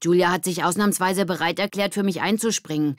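A woman voicing a brisk, bossy schoolgirl with a hurried, sharp-tongued manner in a children's audiobook. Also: fairly low pitch, fairly even intonation, no room sound, clean studio sound, voice pushed up, natural voice.

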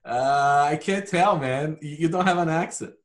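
A man's voice: on the high side, normal speed. A man from Brazil giving casual, matter-of-fact explanations as a podcast guest. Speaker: podcast guest, hardware company founder.

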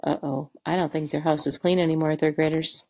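A woman's voice: sits low, level tone, a little fast, a little mellow. A woman teacher reading a picture book aloud to third graders, expressively and with direct questions to the children.

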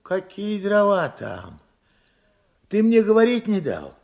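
An actor playing an older working-class father, earnest and proud, in Soviet radio drama style, with a husky, creaky, emphatic voice. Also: high voice, huge pitch swings, takes it slowly, a little mellow, exaggerated voice. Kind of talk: Soviet radio play acting.

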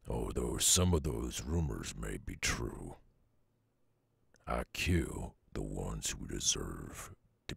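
A brooding Southern werewolf for an ASMR roleplay. The voice is rock-bottom low and thick with gravel, and it moves at a slow drawl, like a gruff loner speaking his mind. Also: fairly bright tone, no room sound, full character voice. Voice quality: very gravelly, husky.

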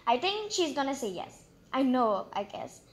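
A woman's voice: sits high, sing-song, normal speed. A woman reading a children's storybook aloud expressively, breaking off for chatty, exclamatory asides of her own. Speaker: storybook reader and channel host.

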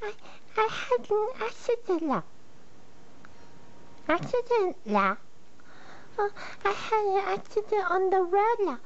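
A woman's voice: very high-pitched, lively intonation, slow, pauses often, heavily acted. A woman voicing silly chattering pets, stammering and ending lines with "la". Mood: flustered. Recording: dull lo-fi sound.

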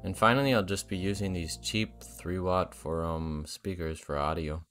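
Young man, deep register, informal how-to voiceover for a hobby build, light music underneath. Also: steady tone, moderate pace, fairly bright tone. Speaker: hobbyist project vlogger. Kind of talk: casual tutorial narration of a DIY electronics project.

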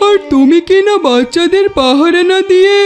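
A performer plays a protective papa duck with an extremely high voice. He sounds worried and earnest in his pleading, a children's cartoon character with music behind him. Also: moderate pace, a little mellow. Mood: alarmed.

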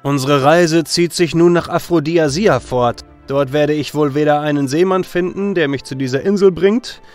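A man acts a proud young-sounding war hero in a fantasy audio drama. His voice is fairly high, energetic but troubled, over soft music. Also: normal speed, lightly acted. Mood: worried.

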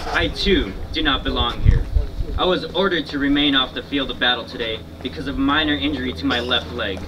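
A man's voice: medium pitch, fairly quick, close to an everyday voice. A man playing a proud, defiant Californio rancher's son in a live historical reenactment, speaking formally and boastfully. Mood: sheepish pride. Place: outdoor stone amphitheater in open scrubland. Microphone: stand mics, recorded from a distant camera. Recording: outdoor stage from far off.

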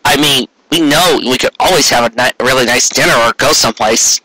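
A man playing a bashful, eager male cartoon pony baker who talks in a halting, flustered way. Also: mid-range voice, pushed and bright, poor audio. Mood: bashful, hopeful.

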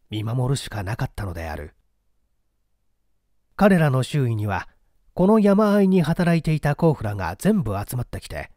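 Mellow, expressive male storyteller. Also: medium pitch, no echo, studio quality. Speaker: audiobook narrator, stage actor. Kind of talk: dramatic scripted literary narration.

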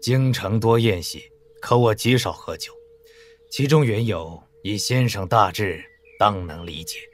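An actor voices a cautious male court official in a wuxia audio drama. His voice is fairly low, and his delivery is measured and formal. He keeps a courteous, reserved manner throughout. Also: faint music behind, natural voice. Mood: guarded, polite.

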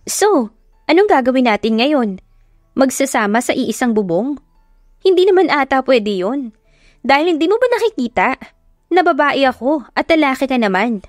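A woman voicing a feisty, hot-tempered young woman who scolds and shouts in a sharp, quick voice. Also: high voice, very animated, no echo, clean studio sound, soft background music, voice pushed up. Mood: irritated.

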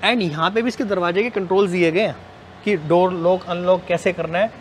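A man, giving an informal, brisk sales walkthrough as a car dealer. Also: sits high. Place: indoor basement car showroom. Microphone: clip-on lapel mic. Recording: faint ambient noise of indoor car park.

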